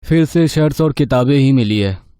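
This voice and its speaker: A man voicing a casual twenty-year-old young man in an animated horror story. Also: mid-range voice, moderate pace, no echo, studio quality, natural voice. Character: young man, twenty, friendly birthday party host. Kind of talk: animated story acting, conversational.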